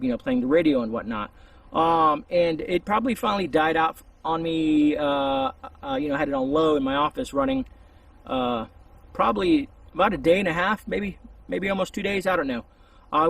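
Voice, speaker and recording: A man with a gravelly, husky voice giving a casual, rambling, hesitant product review outdoors, a little distance from the camera. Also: high-pitched, a bit bright. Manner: informal, hesitant, meandering. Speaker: YouTube reviewer. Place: outdoors among trees. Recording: voice distant from the mic.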